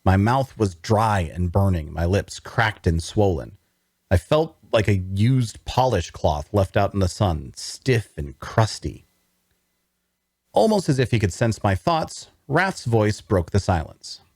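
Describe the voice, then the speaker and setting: Male actor as wounded captive narrator, lowish voice, weary and guarded, dry close sound, audio drama. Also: big pitch swings, slow pace, a little mellow, breathy edge, no echo, exaggerated voice. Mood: weary narration. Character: weary, wary wounded male prisoner narrating his story. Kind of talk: audio drama table read with first-person narration.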